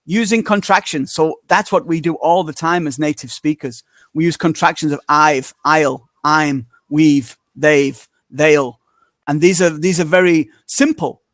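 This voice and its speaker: A British man from Manchester, answering interview questions on a video call in a relaxed, explaining way with lots of small fillers. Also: a little high, no echo. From Manchester, England. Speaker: interview guest, native English speaker.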